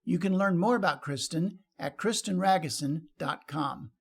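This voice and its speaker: A man reading a scripted introduction for the show, with a steady announcer's delivery. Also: a little high, normal speed, gravelly.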